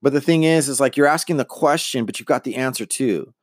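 A man's voice: medium pitch, fairly quick, no echo, studio quality. A middle-aged man hosting a podcast, talking casually and earnestly with lots of fillers and restarts. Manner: rambling, many fillers and restarts; earnest, motivational. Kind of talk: casual monologue on personal branding.

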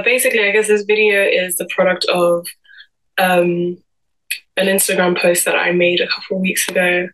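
Low-pitched young London woman, even delivery. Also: poor audio. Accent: London English. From London, England. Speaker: guest speaker giving a personal talk to camera. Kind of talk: personal vlog talk.

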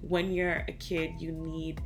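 Lower-voiced young woman, chatting easily. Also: flat delivery, slow pace, faint music behind. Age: 20s. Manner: slangy, teasing, easygoing. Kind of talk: casual chat between friends.

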